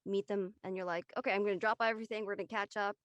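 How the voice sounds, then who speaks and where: A woman's voice: medium pitch, fairly even intonation, racing, a bit bright, no echo, highs cut off. A woman chatting casually on a panel, giving reflective advice into a close microphone. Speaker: panelist in an online discussion.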